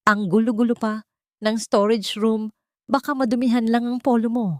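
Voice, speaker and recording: A woman voicing a haughty young fiancée with a shrill, quick, sneering voice. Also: level tone, no room sound, studio quality, close to an everyday voice. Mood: coaxing. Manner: sneering, suspicious.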